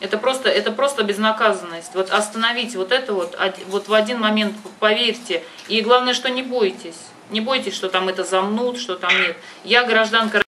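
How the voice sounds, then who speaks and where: A woman's voice: medium pitch, a little fast, hardly any pauses. Insistent woman, distant and noisy recording. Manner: insistent, emphatic, repeats herself. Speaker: advisor and host of the phone conversation. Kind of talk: phone conversation, giving urgent advice. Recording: distant microphone with loud background noise.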